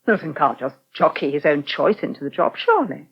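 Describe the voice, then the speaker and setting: A forthright, loyal English wife in middle age in an old British radio soap. She speaks with lively swings of pitch and a probing, emphatic manner. The sound is a little muffled and dated. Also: fairly quick, slightly rough, full character voice. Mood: indignant, disbelieving. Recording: dull, band-limited old radio recording.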